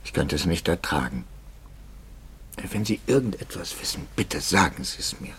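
An actor playing a troubled, anxious priest in a 1950s German radio drama, brooding and articulate, moving between hushed and impassioned speech. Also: male voice, deep voice, animated, normal speed, slight background noise. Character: troubled, anxious priest, intense and probing, quietly bitter.

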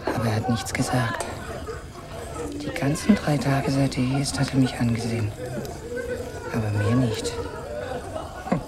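An actress playing a quiet, watchful young woman in a radio drama, speaking an intimate inner monologue in short sentences. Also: very deep voice. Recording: loud crowd noise behind the voice. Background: loud crowd.

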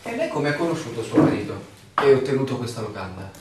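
An actor playing a questioning, sceptical bridegroom-to-be in a stage-like radio drama, probing and a little hesitant. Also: male voice, medium pitch, huge pitch swings, fast talker. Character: questioning, sceptical newcomer engaged to be married. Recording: echoey room, distant mic, faint noise.

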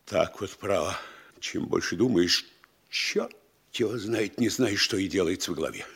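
An actor playing a blustering, anxious middle-aged provincial mayor in a classical comedy, grumbling in drawn-out, emphatic phrases. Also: male voice, low-pitched, creaky, a bit bright, breathy edge, full character voice. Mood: uneasy, musing. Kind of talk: Russian classical stage comedy radio acting.

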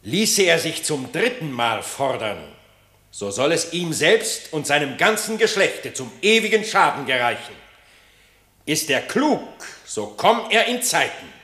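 Bright-voiced, stern, slow-speaking king. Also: medium pitch, heavily acted. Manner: solemn, emphatic regal declamation. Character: stern, indignant male animal king, proud and commanding. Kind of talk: classic German radio play of a verse fable. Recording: roomy, echoing studio radio recording.

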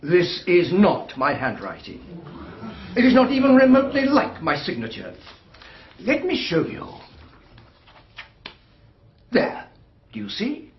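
An actor playing a defensive, indignant husband under questioning, clipped and haughty, in a radio drama. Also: male voice, high-pitched, wildly swooping pitch, unhurried, long pauses, heavily acted. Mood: indignant denial. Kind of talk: British radio drama acting. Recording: dull, band-limited radio drama recording.

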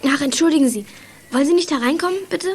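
A woman's voice: sits high, fast talker, background noise. A young female performer playing an eager, earnest and polite schoolgirl in a German children's radio play. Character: eager schoolgirl who adores her lost cat.

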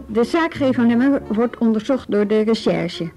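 An actress playing a calm, probing policewoman in a radio drama, questioning in a measured, businesslike way. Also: on the high side, soft background music, poor audio. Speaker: police officer questioning a youth.

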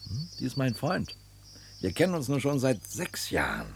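A man's voice: mid-range voice, wildly swooping pitch, normal speed, creaky, slight background noise. An actor playing an easygoing, wry male neighbour who teases and muses in a German radio play. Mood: fond, musing. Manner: teasing, leisurely, wry. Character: easygoing, mocking male neighbour, bird-loving cider maker.

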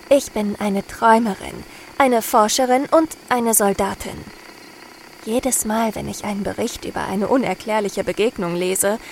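A woman's voice: medium pitch, a little mellow, low-quality recording. An actress playing a pensive, curious female soldier who watches for UFOs in a radio drama monologue. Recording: clear background noise.

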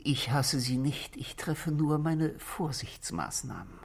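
A man playing a bitter but controlled husband in a 1960s German radio drama, speaking in formal, deliberate phrases. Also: medium pitch, lively intonation, creaky, husky, full character voice. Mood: guarded, cool. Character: controlled, bitter married man confessing to police.

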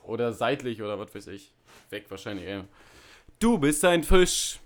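A man rehearsing lines as an amateur performer, breaking off between loud theatrical takes and casual chat. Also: medium pitch, big pitch swings, moderate pace.

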